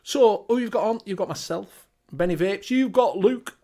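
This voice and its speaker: A man with a Northern English accent hosts a laid-back live online show, his voice sitting fairly high for a man. He chats loosely, swears freely and runs through a list of viewers' names. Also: normal speed, warm tone. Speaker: show host. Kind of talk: casual live-stream chat.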